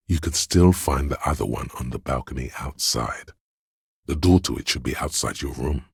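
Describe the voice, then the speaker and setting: Very deep, deadpan forensic inner voice. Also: male voice, creaky, slightly rough, dry recording, studio quality, full character voice. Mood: dry, sardonic. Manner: measured, deliberate, dryly deadpan. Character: analytical inner voice of visual forensics, male, deadpan, precise. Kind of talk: scripted game narration, second person.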